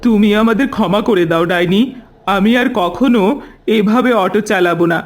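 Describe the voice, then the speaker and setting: A man performs an earnest villager in a cartoon tale. The voice sits high for a man. He speaks urgently and anxiously. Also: normal speed. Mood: remorseful, pleading. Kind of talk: Bengali cartoon story voice acting.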